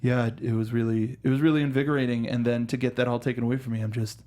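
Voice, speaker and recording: Man co-hosting, halting and animated. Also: mid-range voice. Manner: halting, repeats words, animated storytelling with mimicked quotes. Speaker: talk show co-host. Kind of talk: casual film and video game discussion.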